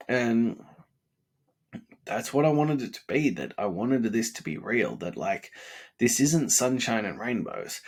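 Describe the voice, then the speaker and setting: A man's voice: mid-range voice, a little mellow, slightly rough. A congested, tired man in his thirties giving an earnest, rambling motivational talk late at night. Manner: earnest, rambling, many fillers. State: congested and sniffly. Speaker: vlogger.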